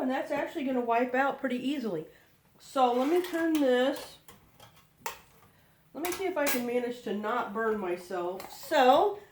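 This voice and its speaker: A middle-aged woman sells from her kitchen in a live online demo, her fairly high voice a touch stuffy from a head cold. She talks in loose, rambling asides and chuckles often. A faint hiss sits under the recording. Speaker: direct-sales consultant.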